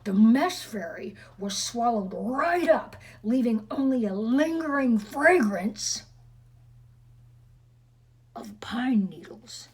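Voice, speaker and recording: A woman's voice: a little high, expressive, takes it slowly, husky. A woman reading a storybook aloud expressively to children, with chatty, teasing asides to her listeners.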